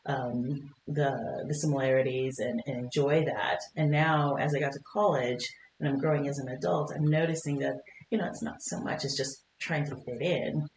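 A middle-aged woman from Kentucky, a podcast guest, talking in a warm, chatty way with frequent laughs and fillers. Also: very deep voice, fairly even intonation, moderate pace, echoey room, slight background noise, rough recording. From Kentucky, United States. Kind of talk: conversational interview. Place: podcast studio.

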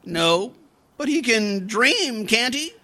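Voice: mid-range voice, sing-song, slow pace, pushed and bright, full character voice. An actor playing a scheming, slangy teenage schoolboy in an old-time radio comedy, theatrical and wheedling.

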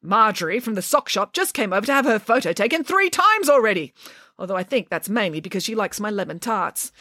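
A woman's voice: medium pitch, very animated, fairly quick, sharp tone, dry recording, clean studio sound, voice pushed up. A woman voicing an imperious, theatrical female pig who is brazen and scornful, with haughty, emphatic exclamations, in a storybook. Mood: scornful, boastful.

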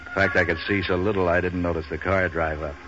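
An actor playing a tough, cynical, street-wise man for hire in a 1940s radio detective show, with an American accent and clipped, deadpan wisecracking delivery. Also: sits low, fast, lightly acted. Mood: sardonic narration. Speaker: lead character and narrator. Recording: dull, noisy old radio recording.

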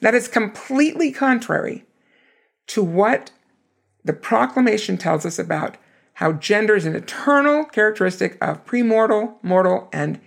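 A hoarse woman giving an earnest, emphatic scripture lesson. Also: medium pitch. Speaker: scripture teacher.

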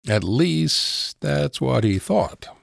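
A man narrating a true story in a steady, serious scripted style. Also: medium pitch, extremely slow, creaky, dry recording, studio quality.

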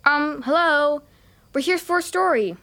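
A plucky young girl with a high voice. She sounds earnest and a little bossy, the brave leader in a children's adventure drama. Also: lively intonation, measured pace, sharp tone, full character voice. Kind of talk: children's audio drama acting.

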